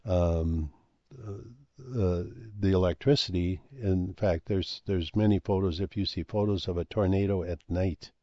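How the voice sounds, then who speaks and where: An older man talking in a rambling monologue, halting with many fillers and restarts, and losing his voice. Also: low voice, mellow, dry recording, lo-fi sound. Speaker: speaker on ancient history and comets.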